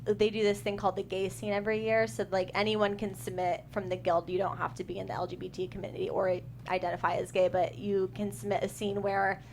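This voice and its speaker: A woman screenwriter talks through her work in a relaxed panel chat. She rambles a little, full of fillers and restarts, in a mid-range voice. A faint hiss sits under the recording. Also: medium pitch, fast talker, few pauses. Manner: chatty, many fillers and restarts. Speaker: screenwriter guest. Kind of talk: casual panel discussion.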